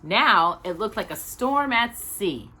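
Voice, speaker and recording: Storytime lady reading a kids book, super animated, bright voice w a husky edge, leans into the drama. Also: mid-range voice, slow. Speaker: storytime host reading aloud. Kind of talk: expressive children's storybook narration.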